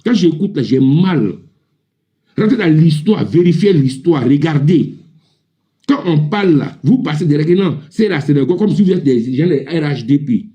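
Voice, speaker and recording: Ivorian male, heated panel debater, somewhat high-pitched yet very mellow-toned, insistent, repetitive, informal delivery. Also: lively intonation, normal speed, muffled recording. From Ivory Coast. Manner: indignant, emphatic, repetitive. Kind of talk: heated informal political rant on a live audio panel.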